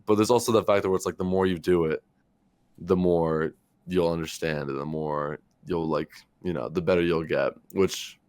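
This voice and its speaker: Young male podcast co-host, low voice, loose and profane, full of "like" fillers. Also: steady tone, normal speed, darkish tone, clean tone. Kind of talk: casual chat.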